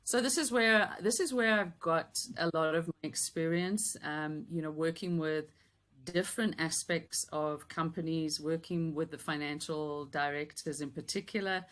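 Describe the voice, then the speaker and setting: A woman in her fifties from Yorkshire, now a business coach, with a softened Yorkshire accent, talking as a guest in a relaxed, chatty way with many ums. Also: deep voice, moderate pace. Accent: Yorkshire English softened by South African years. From Yorkshire, England. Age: 50s. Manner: warm, chatty, thoughtful with frequent ums and light laughter. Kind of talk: casual interview chat.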